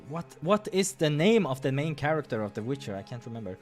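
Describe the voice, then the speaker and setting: A man's voice: mid-range voice, big pitch swings, fast talker, soft background music. A man hosting a game playthrough, reading visual novel narration and breaking off for casual asides.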